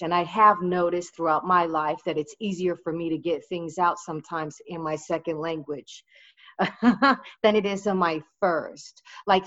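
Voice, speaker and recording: Earnest woman teacher, dull audio. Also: fairly low pitch. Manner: earnest, emphatic, reflective. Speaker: Spanish teacher. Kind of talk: conversational interview.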